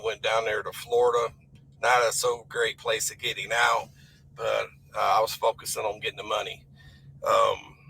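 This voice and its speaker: A man with a cold talks to his camera in a rough, raspy voice that crackles with fry. His tone is strikingly bright and forceful as he rambles in a folksy, emphatic way about his trucking costs. A faint hum of noise sits under the recording. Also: on the low side. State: hoarse from a cold. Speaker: owner-operator truck driver. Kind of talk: casual vlog talk to camera.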